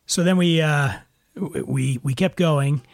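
A man's voice: on the high side, unhurried, vocal fry, no room sound, clean studio sound. A man with a Philadelphia accent hosting a podcast, joking and self-mocking as he rambles through a story. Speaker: podcast host. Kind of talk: casual chat.

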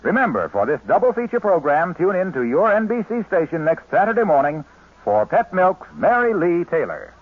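Animated male vintage radio announcer, tinny sound. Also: sits high, normal speed, background noise. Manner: folksy, emphatic salesmanship. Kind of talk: 1940s radio advertising, scripted. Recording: thin, phone-like vintage radio recording.

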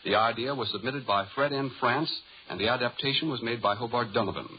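A man's voice: mid-range voice, a little fast. A man announcing in a scripted 1930s radio broadcast style. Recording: muffled, hissy 1930s radio recording.

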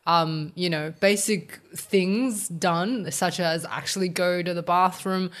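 A young woman, co-hosting a casual podcast, run down from illness and a bit raspy, chatting with lots of ums. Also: fairly low pitch, steady tone, slow. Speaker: podcast co-host. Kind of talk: casual chat.

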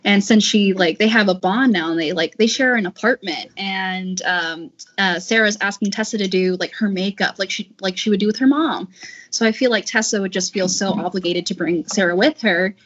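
A woman's voice: mid-range voice, moderate pace, fairly bright tone, husky. A woman chatting casually on a discussion panel, informal, often saying "like" as she works out her thoughts. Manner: informal, frequent "like", trailing thoughts. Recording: dull, lo-fi audio.

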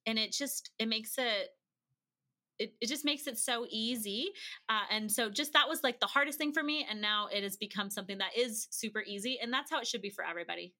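A woman's voice: on the high side, a little fast, bright, forceful tone. A woman speaking as an interview guest, sharing tips and anecdotes in a casual, conversational way. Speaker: interview guest, traveling mother.

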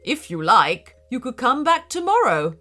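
A woman voicing a tall, thin librarian in a children's story, encouraging and gently pleased. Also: a little high, big pitch swings, measured pace, faint music behind, exaggerated voice. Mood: pleased, gentle.

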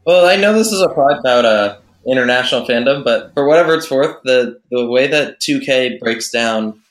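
A man from Boston on a casual podcast, speaking in a lively, self-deprecating way. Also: mid-range voice, normal speed. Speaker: podcast co-host. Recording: roomy echo.

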